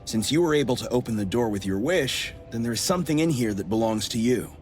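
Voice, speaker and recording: An actor playing a brusque, guarded, grumpy man with a soft spot in an anime-style game, speaking curtly. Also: medium pitch, expressive, quick pace, soft background music, close to an everyday voice. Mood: gruffly caring. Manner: curt, blunt.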